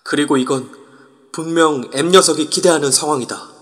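A man's voice: on the high side, normal speed, in a large hall, lightly acted. An actor narrating in the first person as a tense young male player in an audio drama. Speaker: narrator and lead character.

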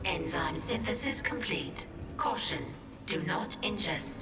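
An actress voicing a calm spaceship computer in a video game, making formal, impersonal announcements. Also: female voice, fairly low pitch, a little slow. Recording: echoing, distant, loud music over it.

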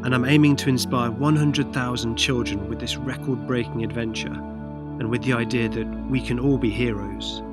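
A man's voice: mid-range voice, fairly even intonation, normal speed. Upbeat man with music behind. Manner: upbeat, earnest, enthusiastic. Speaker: long-distance cyclist raising funds. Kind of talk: crowdfunding appeal, mix of voice-over and to-camera talk. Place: outdoors in a city park.

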